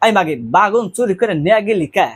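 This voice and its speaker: A man acts out an old village grandmother with a high-pitched voice. She speaks in rural Bangladeshi dialect, bossy and blunt, nagging and cursing as she accuses people in an improvised prank call. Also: fairly quick, slightly rough. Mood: accusing.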